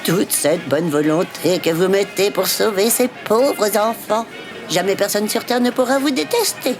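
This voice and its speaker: A woman's voice: medium pitch, a little slow, over music, close to an everyday voice. A woman playing an effusive, polite lady customer in a fiction podcast, full of admiration. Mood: admiring. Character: gushing, admiring lady customer at a charity shop.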